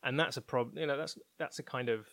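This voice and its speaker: A man's voice: mid-range voice, brisk, fairly bright tone, dry recording. Man, informal and candid, close dry sound. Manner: informal, candid, thinking aloud. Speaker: interview guest, technology researcher at a broadcaster. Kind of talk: conversational interview, explaining opinions.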